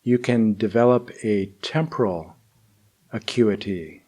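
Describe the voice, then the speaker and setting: Very slow-speaking male meditation teacher. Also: on the low side, dark tone. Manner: deliberate, measured phrasing with long pauses. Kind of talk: guided meditation and spoken teaching.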